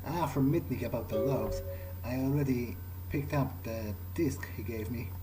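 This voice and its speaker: A man role-playing through a video game, thinking aloud in character in a low-key, hesitant way. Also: moderate pace, rough recording. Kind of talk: in-character musing during gameplay. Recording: music behind the voice.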